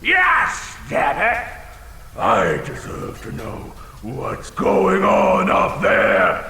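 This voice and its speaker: Gravelly, blustering dragon in a cavern. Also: male voice, huge pitch swings, very slow, bright, forceful tone, full character voice. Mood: aggrieved. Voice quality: gravelly, creaky. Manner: theatrical booming threats collapsing into fussy, casual muttering. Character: blustering, insecure, half-blind dragon with huge teeth. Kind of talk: comedic audio drama acting. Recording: cavernous long reverb with faint noise.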